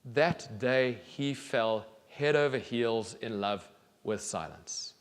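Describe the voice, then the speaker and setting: Slow-paced man reading a storybook in a hall. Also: medium pitch. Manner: deliberate, expressive, pausing. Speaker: children's author reading aloud. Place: large theatre stage, indoors. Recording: reverberant theatre hall.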